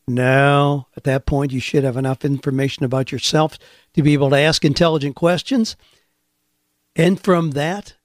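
A man's voice: fairly high pitch, dry recording, clean studio sound. A man hosting a career-advice radio show, talking in an earnest, encouraging and conversational way. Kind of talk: podcast radio monologue.

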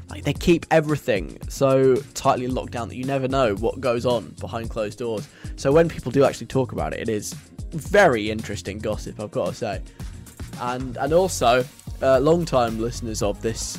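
A British man hosts a radio show with a chatty, conversational delivery. His pitch is middling and his manner is wry and self-deprecating. Light music sits behind him. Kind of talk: casual chatty radio presenting.

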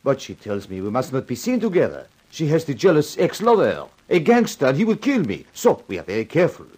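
A man's voice: mid-range voice, wildly swooping pitch, fairly quick, darkish tone. An actor playing a suave but nervous Frenchman in a radio crime drama, speaking with a French accent in careful, hesitant phrases. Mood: wistful, measured. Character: suave, nervous French lover, pleading his innocence.

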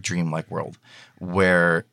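Deep-voiced man as interview guest. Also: flat delivery, very slow, vocal fry, sharp tone. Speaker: interview guest, audio drama writer. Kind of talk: conversational interview.

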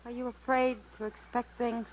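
A woman's voice: on the high side, little pitch movement, moderate pace, close to an everyday voice. An actress playing a shaken but brave woman stranded in the jungle, earnest and plaintive in 1950s radio acting style. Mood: plaintive, weary. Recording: narrow, faintly noisy vintage radio recording.

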